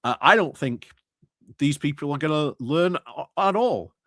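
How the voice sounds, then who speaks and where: A man's voice: fairly high pitch, animated, measured pace, a bit bright, no room sound, clean studio sound. A man giving casual commentary on meeting clips, hesitant, with many fillers and restarts. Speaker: political commentary streamer.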